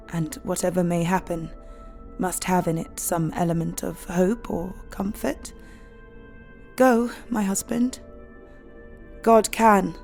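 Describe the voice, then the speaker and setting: An actress playing a brave, devout young wife in an audio drama, quietly resolute and solemn. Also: female voice, fairly low pitch. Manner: measured, solemn.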